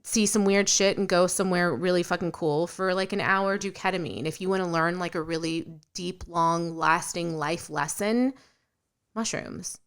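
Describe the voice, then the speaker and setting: A woman's voice: fairly low pitch, moderate pace, clear, resonant tone. A woman in her late thirties co-hosting a podcast, chatting casually, swearing freely and retelling stories with animation. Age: late 30s. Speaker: podcast co-host. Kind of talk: casual chat.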